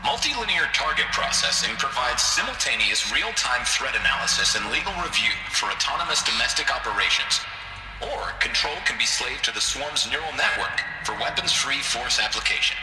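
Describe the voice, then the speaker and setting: Bright, echoing corporate weapons announcer. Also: male voice, medium pitch, expressive, normal speed, slight background noise. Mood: confident, promotional. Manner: salesman-like, polished. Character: slick corporate promotional announcer for military robots. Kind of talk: scripted advertising narration. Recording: echoey long reverb, distant, music behind.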